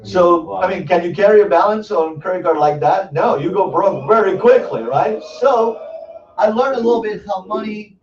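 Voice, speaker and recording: A man's voice: high voice, normal speed, crowd noise. A man from Argentina with an Argentine Spanish accent, a native Spanish speaker, giving a casual, chatty presentation with lots of fillers and restarts. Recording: distant, dull sound in an echoey room.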